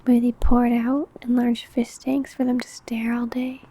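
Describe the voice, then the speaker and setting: A secretive vampire coworker, acted out by a woman in an ASMR roleplay. Her voice sits fairly high yet stays soft and mellow, with a creaky edge. She talks close and hushed, coy and sly, as if sharing gossip with you alone. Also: level tone, normal speed, slight background noise, natural voice. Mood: sly, sinister. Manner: hushed, chatty, coy, drifting into whispers. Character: secretive female vampire coworker, older senior, sly and possessive. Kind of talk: ASMR roleplay addressed to the listener.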